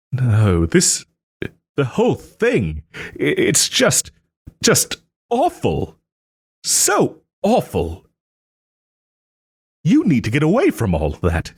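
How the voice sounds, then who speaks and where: A man playing a haughty but tender wronged nobleman in a romantic audio roleplay, speaking theatrically with formal, old-fashioned phrasing and hesitant pauses. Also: medium pitch, husky, no echo, studio quality, full character voice. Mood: apologetic, sincere. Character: wronged young nobleman, haughty, wounded, still tenderly caring.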